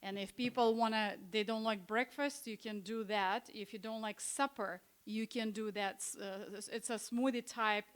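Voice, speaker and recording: Smooth-voiced woman presenting health books. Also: medium pitch, fairly even intonation, normal speed, few pauses. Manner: conversational, with frequent hesitations and restarts. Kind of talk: informal presentation at a church seminar. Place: church hall.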